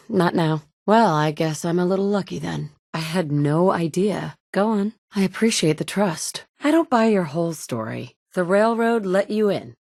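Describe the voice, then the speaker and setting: A woman's voice: lowish voice, a little mellow, no echo, clean studio sound. A woman voicing a tough, blunt female survivor in a game, with curt, commanding lines. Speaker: player character. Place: recording studio. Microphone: close studio mic.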